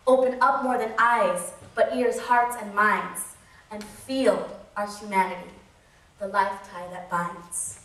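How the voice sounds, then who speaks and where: Slow emphatic woman spoken word poet. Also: medium pitch, steady tone, background noise. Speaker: spoken word performer and host. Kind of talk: rhythmic spoken word poetry. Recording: echoey hall, mic far off.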